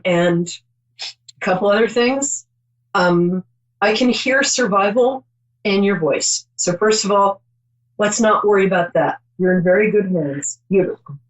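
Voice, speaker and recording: A woman's voice: medium pitch, rough recording. A middle-aged woman hosting a call-in psychic show, chatty and reassuring, rambling with many ums.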